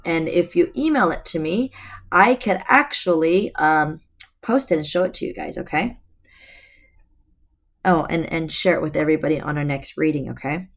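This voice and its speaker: A middle-aged woman teacher, slightly hoarse, reading a novel aloud to her students with chatty asides, recorded on a laptop webcam at home. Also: sits low, moderate pace. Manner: chatty, addresses students directly. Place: room at home.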